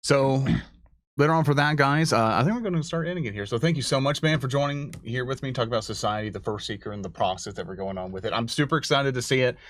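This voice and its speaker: A man's voice: medium pitch, quick pace, a bit bright, no echo, studio quality. A man hosting a livestream in a casual, enthusiastic, slangy and rambling chat style. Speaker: livestream host.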